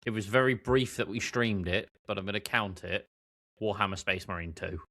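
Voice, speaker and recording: Lower-voiced man in casual chat. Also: steady tone, normal speed, pushed and bright. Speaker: podcast co-host. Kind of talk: casual film discussion.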